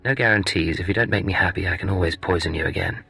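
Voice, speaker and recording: Casting: cool game spy, low gravelly voice, curt menace, smooth liar. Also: male voice, quick pace, bright, forceful tone, soft background music, heavily acted. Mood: cold, threatening. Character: cool, menacing male secret agent, smoothly deceitful. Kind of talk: video game voice acting.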